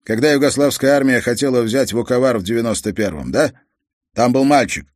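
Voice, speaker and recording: A man's voice: mid-range voice, a little fast, no echo, clean studio sound, pitched above the performer's own voice, natural voice. A man voicing a weary immigrant father with a Croatian accent who speaks in broken, insistent sentences. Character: immigrant father, former engineer, blunt and weary.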